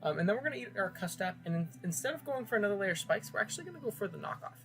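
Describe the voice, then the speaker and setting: A young man talking quickly through a recorded battle commentary, earnest and explanatory with plenty of ums. The clip is played back from an old video, and clear music runs under his voice. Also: sits high, animated, fairly bright tone. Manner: earnest, explanatory, filler-heavy. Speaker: game commentator.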